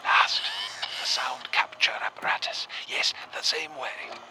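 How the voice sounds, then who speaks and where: Sharp, whispery, secretive gentleman. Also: medium pitch, steady tone, measured pace, soft background music, exaggerated voice. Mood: calm, controlling. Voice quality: breathy half-whisper. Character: secretive, courteous male host giving soft instructions. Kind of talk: radio drama acting.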